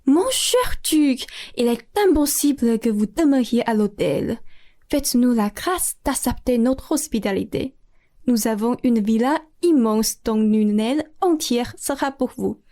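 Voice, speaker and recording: A woman's voice: fairly high pitch, lively intonation, unhurried, dark tone. A woman playing a rich, effusive aristocratic lady in a student radio play, speaking grandly with theatrical sighs. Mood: welcoming.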